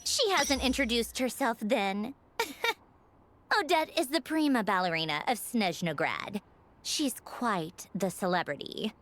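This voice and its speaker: Teasing, self-assured female engineer boss, game character. Theatrical delivery, highly varied intonation. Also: very animated, slow pace, a bit bright, slight background noise, heavily acted. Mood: playful, admiring. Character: breezy, teasing female Fatui engineer with authority. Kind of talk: video game voice acting.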